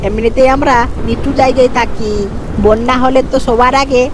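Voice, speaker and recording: A woman's voice: fairly high pitch, fairly even intonation, moderate pace, noisy background, lightly acted. A woman voicing a determined, cautious fox father in a children's cartoon, with an earnest, explanatory way of talking. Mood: worried. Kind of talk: Bengali children's cartoon dialogue.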